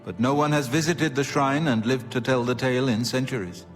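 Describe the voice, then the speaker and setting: A man's voice: medium pitch, fairly even intonation, faint music behind, lightly acted. Grave, formal knightly elder statesman acted by a man. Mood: solemn, foreboding. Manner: measured, solemn, formal. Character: grave, dutiful male grand master of a knightly order. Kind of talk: video game acting.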